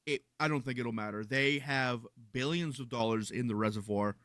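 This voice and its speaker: Male, hesitant arguer, bright tone, dry close sound. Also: mid-range voice, moderate pace, dry recording. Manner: hesitant, repeats himself. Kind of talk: casual argument.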